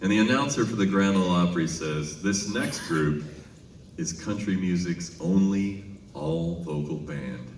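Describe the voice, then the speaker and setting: An American man telling humorous stories onstage to a concert audience, conversational, with deliberate pauses before punchlines. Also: sits low, expressive. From Minnesota, United States. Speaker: singer addressing a concert audience. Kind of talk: humorous onstage storytelling between songs. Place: large casino concert venue. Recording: echoey live hall, distant noisy PA sound.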